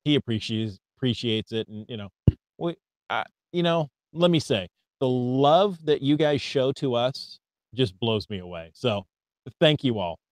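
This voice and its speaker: An American man co-hosts a relaxed hobby podcast with an upbeat, chatty delivery. He reads listener mail aloud, trips over foreign names, and talks in an ordinary middle register. The sound is dry and close, with no room around it. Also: medium pitch, measured pace, dry recording. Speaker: podcast co-host. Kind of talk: casual podcast chat.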